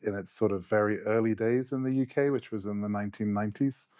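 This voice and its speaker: A man's voice: lowish voice, fairly even intonation, fast, darkish tone, clean tone. A man who grew up in the UK, a guest researcher speaking in a thoughtful interview, often restarting his sentences. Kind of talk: conversational interview.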